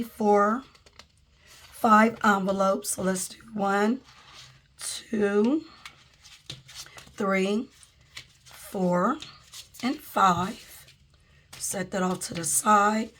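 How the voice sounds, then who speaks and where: A woman's voice: medium pitch, a bit bright, a little hiss or hum. A woman with a cold who is losing her voice, chatting casually to viewers as a budgeting vlogger at a table. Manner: chatty, addresses viewers as guys and y'all. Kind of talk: casual vlog chat. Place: indoors at a table, camera overhead.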